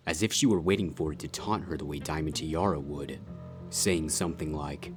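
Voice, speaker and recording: A man reading scripted fan fiction as a dramatic story narrator, with a husky voice. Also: a bass voice, moderate pace, music in the background.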